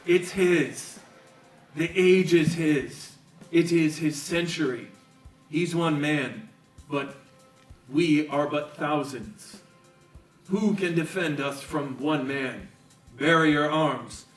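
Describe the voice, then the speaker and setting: A young actor plays a despairing male citizen in a verse drama. His fairly high voice declaims slowly and emphatically, echoing in a room a little off the mic. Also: far from the mic. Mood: despairing, fervent. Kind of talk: declamatory verse radio play. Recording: echoey room, off mic, music.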